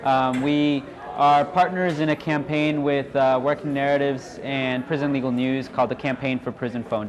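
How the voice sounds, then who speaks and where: A man facilitating a workshop, informally giving instructions to a group, recorded live in a room. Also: fairly high pitch. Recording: live room with audience chatter.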